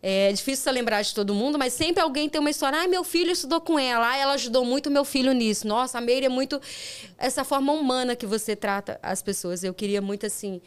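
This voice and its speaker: A woman's voice: sits high, fast talker, clear, resonant tone. A middle-aged Brazilian woman with a Brazilian Portuguese accent, hosting a podcast and telling a personal story earnestly, with frequent restarts. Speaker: podcast host. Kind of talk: casual interview chat.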